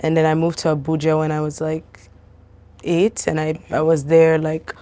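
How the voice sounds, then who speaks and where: Casting: young woman from Nigeria, low voice, easygoing and chatty, loose interview guest. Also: normal speed. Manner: relaxed, chatty, many fillers. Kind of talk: casual interview.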